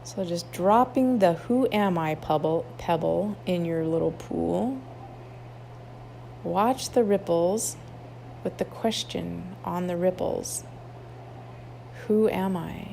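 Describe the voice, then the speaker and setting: A woman guiding a meditation, reading instructions in an unhurried way with long pauses. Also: lowish voice, warm tone. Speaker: meditation guide at a wellness retreat. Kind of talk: guided meditation, read aloud softly. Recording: clearly noisy ambient background.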